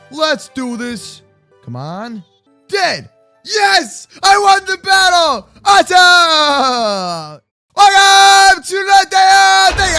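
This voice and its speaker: Very high-pitched, excitable young man. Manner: exclamatory, slangy, often shouting, playful. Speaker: host of a gaming roleplay channel. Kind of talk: excitable children's gaming roleplay commentary. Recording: faint music behind the voice. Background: faint music.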